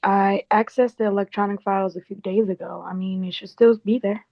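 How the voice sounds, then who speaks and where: Actress as loyal female assistant, medium pitch, polite, gently concerned, everyday delivery, table read, dry slightly lo-fi sound. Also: fairly even intonation, fairly quick, dry recording, lightly acted. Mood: concerned, helpful. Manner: polite, conversational.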